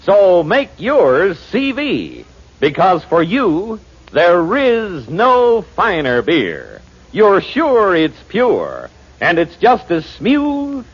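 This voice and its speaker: A man's voice: high-pitched, wildly swooping pitch, extremely slow, husky. A man delivering a jingle in a 1940s radio commercial. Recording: muffled old 1940s radio broadcast.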